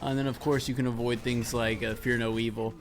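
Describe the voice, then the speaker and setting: A man's voice: mid-range voice, little pitch movement, fairly quick, slight background noise. A man giving live esports commentary and analysis as a co-caster. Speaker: esports shoutcaster.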